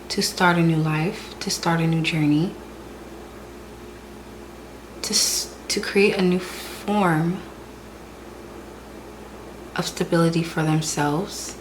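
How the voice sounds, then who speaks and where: A woman doing a spiritual tarot reading in a calm, repetitive way, sounding stuffy and hoarse from a cold. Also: fairly low pitch, background noise. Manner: calm, repetitive, soft-spoken delivery. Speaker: tarot reader.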